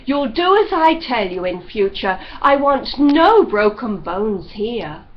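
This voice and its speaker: A woman voicing a stern, commanding gentleman who speaks in curt orders. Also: a little high, very animated, slow, lo-fi sound.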